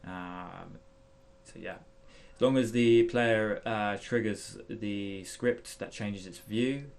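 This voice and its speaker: Low-voiced man, creaky, narrating a tutorial. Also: steady tone, slow, a little mellow. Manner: hesitant, thinking aloud while demonstrating. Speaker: tutorial host. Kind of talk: casual software tutorial narration.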